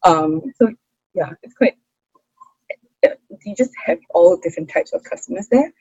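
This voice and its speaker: A young woman with a Singaporean English accent talks through an interview in a husky, breathy voice. She is expressive and chatty, dropping fillers and laughing often. The sound is dry and a little dull, like a muffled recording. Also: fairly low pitch, normal speed, dry recording. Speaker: interview guest, hawker's daughter. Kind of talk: casual interview conversation.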